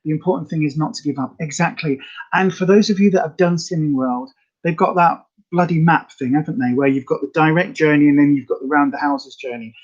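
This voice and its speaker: Lady hosting a live catch-up, bit raspy, loads of ums, kinda muffled lo-fi sound. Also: low voice, fairly quick, a little mellow. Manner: chatty, rambling, many fillers. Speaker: host of a health channel. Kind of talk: casual live chat to viewers.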